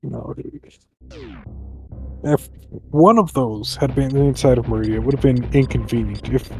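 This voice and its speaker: A man playing a game and thinking aloud, muttering his plans in a halting way. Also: mid-range voice, gravelly, over music. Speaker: speedrunner.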